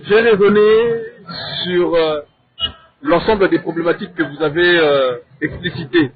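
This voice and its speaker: A man with a Congolese accent debating in a measured, didactic way, in short deliberate phrases and with rhetorical questions. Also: on the high side, slight background noise. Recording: thin, phone-like narrow sound.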